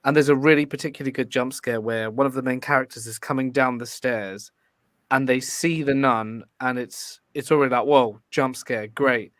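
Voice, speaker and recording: A man's voice: medium pitch, fairly even intonation, normal speed, a bit bright, no room sound, clean studio sound. A man speaking as a panel guest in a casual debate, politely explaining his opinions. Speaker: guest panelist, podcast host. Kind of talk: casual debate about horror films.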